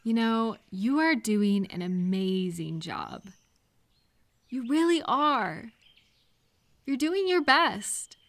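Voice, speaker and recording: A woman playing a supportive, gentle friend in an intimate, casual audio roleplay, speaking in a reassuring, unhurried way to the listener. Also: fairly high pitch, sing-song, exaggerated voice. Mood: bright, encouraging.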